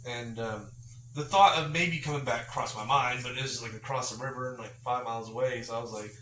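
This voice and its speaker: A man co-hosting a podcast, rambling through stories with laughs and sudden loud put-on voices. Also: mid-range voice, a little fast. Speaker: podcast co-host. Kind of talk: casual storytelling chat. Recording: roomy, far from mic, noisy.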